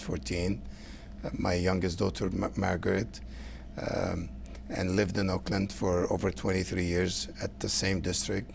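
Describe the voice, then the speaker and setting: A middle-aged man from Lebanon, speaking English, answering interview questions earnestly and haltingly, with many fillers. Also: deep voice, creaky, a little hiss or hum. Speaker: guest, council candidate.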